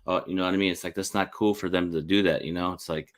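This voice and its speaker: A man's voice: deep voice, steady tone, fast talker. A man hosting a casual sports podcast, chatting in an informal, slangy way. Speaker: podcast host, interviewer.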